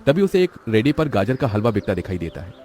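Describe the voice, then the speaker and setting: A man narrates a children's moral story with dramatic delivery. His voice is fairly low and he moves along quickly over faint music. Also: very animated, mellow.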